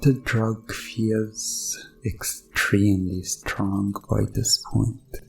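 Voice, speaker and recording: A man's voice: lowish voice, fairly even intonation, gravelly, soft background music, exaggerated voice, rough recording. A man playing a sinister doctor in a hypnosis ASMR roleplay, soothing and commanding his test subject. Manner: slow, commanding, soothing, deliberate countdown-style delivery.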